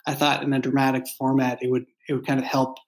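A man speaking as a writer in a reflective interview, explaining his thoughts in a halting, thoughtful way. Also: mid-range voice, monotone. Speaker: writer and show creator being interviewed.

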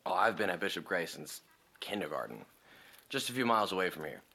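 Deep-voiced, teasing rich senior boy. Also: sing-song, a little fast, vocal fry, bright, forceful tone, husky, heavily acted. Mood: wry, relaxed. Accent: American. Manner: wry, casual, teasing. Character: laid-back, teasing rich legacy high school senior boy. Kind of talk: conversational audio drama acting.

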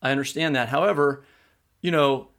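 A man's voice: mid-range voice, fairly even intonation, normal speed, a bit bright. A man co-hosting a discussion, conversational and opinionated, restarting sentences as he makes his point. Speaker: podcast co-host.

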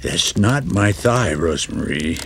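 An actor playing a blunt, wary middle-aged colonel who is defensive and easily flustered. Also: male voice, low voice, animated, unhurried, creaky, a bit bright, slight background noise, full character voice. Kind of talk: audio drama acting.

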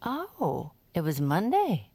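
A chatty woman podcast host with a low, gravelly voice that swings widely. She talks in emphatic, casual banter for an audio drama, recorded close and dry. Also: wildly swooping pitch, takes it slowly, mellow, no room sound, heavily acted. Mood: consoling.

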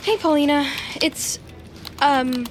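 An actress playing an eager, awkward young tech team leader, stammering and rambling earnestly in a naturalistic audio drama. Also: female voice, sits high, slow, noisy background. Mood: hesitant, wistful.

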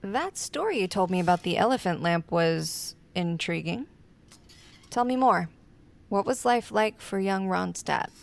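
An actress playing a friendly, teasing, curious young woman in an audio drama, casual and creaky-voiced. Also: on the low side, expressive, unhurried. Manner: playful, casual. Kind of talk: naturalistic audio fiction acting.

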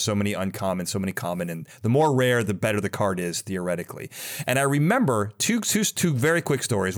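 A man hosting a podcast interview, chatting casually in an enthusiastic, rambling and affirming way. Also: lowish voice. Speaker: podcast host.

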